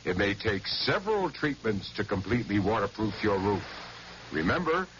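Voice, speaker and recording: A man reading a scripted 1970s radio advertisement as an announcer, clear, instructive and emphatic. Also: lowish voice, big pitch swings, moderate pace, a bit bright, a little hiss or hum. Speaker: public service announcer. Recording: dull old radio broadcast.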